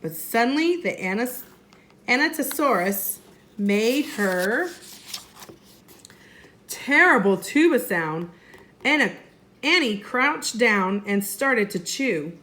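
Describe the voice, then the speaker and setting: A woman, a first grade teacher, reading a storybook aloud expressively and deliberately for young children. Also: fairly high pitch, lively intonation, bright, forceful tone, slight background noise.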